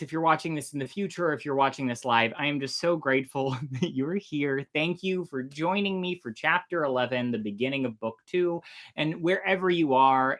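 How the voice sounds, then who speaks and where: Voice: medium pitch, a bit bright. Enthusiastic, informal storytelling host. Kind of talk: chatty intro and dramatic reading of an old sea tale.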